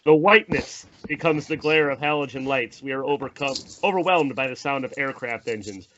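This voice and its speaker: A man's voice: mid-range voice, a little fast, bright, forceful tone. A man reading screenplay stage directions as narrator, dramatic and emphatic. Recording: dull audio, faint noise and clicks.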